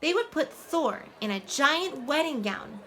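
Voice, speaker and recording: A woman playing a sweet, playful mermaid who tells stories to children in an animated, coaxing way. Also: sits high, a little slow, full character voice. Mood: storytelling. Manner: animated, coaxing, asks listeners questions. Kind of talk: children's storytelling, addressed to the audience. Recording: faint background ambient noise.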